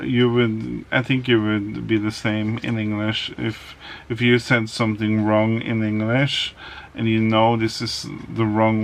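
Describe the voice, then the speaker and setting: Norwegian man, vlogger; hesitant, low and even delivery, unhurried. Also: a little hiss or hum. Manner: hesitant, many ums and restarts. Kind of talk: casual vlog monologue.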